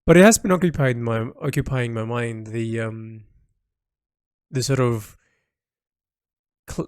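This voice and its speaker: A man's voice: medium pitch, a little mellow. A man talks through a personal audio log in an informal, reflective way. His delivery is hesitant, as if working out each thought aloud, with phrases that trail off. The sound is close and dry, with very little room echo.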